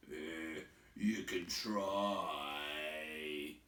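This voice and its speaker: A man voicing a hungry, brooding, scheming troll in a slow low rumble for a storybook. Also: sharp tone, roomy sound, recorded from a distance, heavily acted. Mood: pondering.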